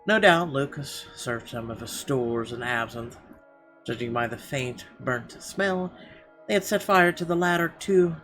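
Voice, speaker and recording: A man's voice: medium pitch, a bit bright. A man doing casual gameplay commentary, reading story narration aloud and dropping blunt, slangy reactions. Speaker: let's player reading and reacting to a visual novel. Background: game music.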